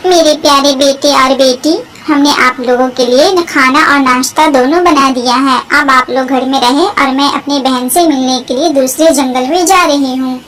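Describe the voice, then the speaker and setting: A woman voicing a kind, gentle, motherly bird in a children's cartoon. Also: high voice, fairly even intonation, moderate pace, few pauses, a little hiss or hum. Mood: caring.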